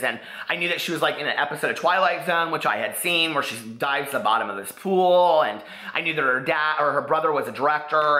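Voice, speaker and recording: A man in his forties with an American accent, chatting casually to camera in an excitable, rambling way with lots of "like". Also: on the high side. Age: 40s. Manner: excitable, rambling, peppers talk with "like". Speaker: book vlogger. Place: living room, indoors. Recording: echoey living room.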